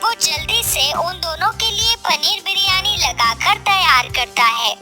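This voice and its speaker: A woman's voice: very high voice, expressive, measured pace. A woman reading scripted storybook narration for a cartoon tale. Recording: music bed behind the voice.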